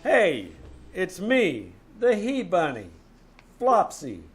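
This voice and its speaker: Male actor as flirty hard-boiled radio detective, wry narrator, higher-pitched voice, live stage sound with music under. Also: wildly swooping pitch, slow, heavily acted. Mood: breezy, probing. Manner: wry, breezy first-person storytelling. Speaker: amateur radio troupe actor. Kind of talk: old-time radio detective drama. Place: small-town theater stage.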